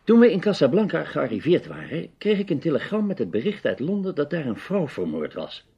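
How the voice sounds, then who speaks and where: An actor playing a serious, probing male investigator, measured and insistent, in an old Dutch radio play with a dull sound. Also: on the high side, big pitch swings, creaky, breathy edge, exaggerated voice. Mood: explaining. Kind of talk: radio drama acting in Dutch. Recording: dull, band-limited old radio recording.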